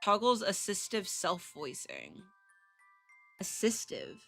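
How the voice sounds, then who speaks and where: A woman hosting a casual game livestream, chatting bluntly and irreverently and reading on-screen text aloud in an animated way. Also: mid-range voice, slow, creaky, soft background music. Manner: foul-mouthed, irreverent, blunt. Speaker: live streamer hosting a visual novel playthrough.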